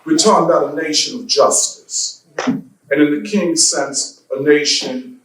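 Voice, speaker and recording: Slow man preaching in an echoey church. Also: fairly high pitch, a bit bright, slight background noise. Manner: slow deliberate preaching with rhetorical questions. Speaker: guest speaker. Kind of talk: church lecture speech. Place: church hall. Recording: echoey hall from far off.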